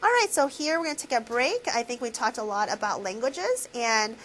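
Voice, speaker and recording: A woman professor giving a recorded lecture, asking students questions and tagging lines with "right?". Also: brisk. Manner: animated, full of questions to students and "right?" tags; many fillers and restarts, swinging pitch. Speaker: university professor.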